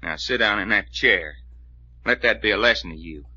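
A man's voice: on the low side, moderate pace, pushed and bright, breathy edge, dry recording, exaggerated voice. A man playing a tough, folksy detective with a Southern drawl in an old-time radio drama. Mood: commanding. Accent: American Southern. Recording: muffled old radio broadcast recording.

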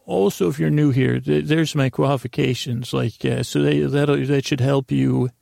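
Creaky-voiced man rambling on a podcast. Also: medium pitch, steady tone, moderate pace, mellow, dry recording, clean studio sound. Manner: meandering, self-deprecating, full of tangents. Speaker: sleep podcast host. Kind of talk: rambling monologue.

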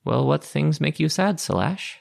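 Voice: mid-range voice, sing-song, moderate pace, gravelly. A tabletop player improvising casual in-character chat, with a teasing, questioning manner.

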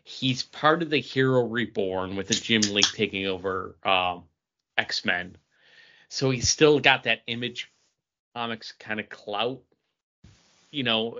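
Male co-host, sharp bright tone, lowish pitch, halting conversational delivery, lo-fi podcast sound. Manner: halting, thinking aloud with repeats. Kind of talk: casual podcast chat. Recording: dull, band-limited podcast audio.

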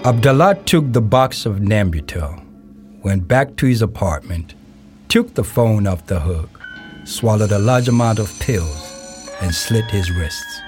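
A man narrates a documentary-style audio play from a script. His voice sits on the low side, and music is clearly heard behind him. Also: lively intonation, measured pace.

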